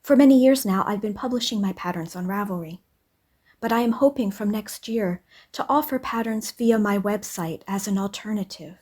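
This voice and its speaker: A woman narrates her week at home in a gentle, unhurried voice. Her pitch sits in an ordinary middle range, and her delivery stays soft-spoken and calm throughout. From English-speaking country. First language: English. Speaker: vlogger. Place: home in Norway.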